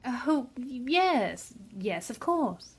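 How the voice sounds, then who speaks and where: A woman's voice: high-pitched, sing-song, extremely slow, husky, exaggerated voice. A woman playing a breezy, bored wealthy society matron with a languid, teasing way, in a radio drama. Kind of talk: old-time radio drama acting.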